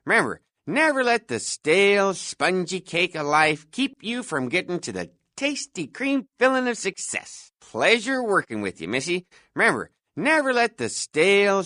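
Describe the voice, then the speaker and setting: A self-important, folksy night-shift security guard for a video game. His delivery swings up and down with officious bluster and hesitant filler. The voice is bright and forceful, recorded very dry and close. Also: sits high, no room sound, full character voice. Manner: pompous, officious, hesitant filler-laden patter. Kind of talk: scripted video game voice lines.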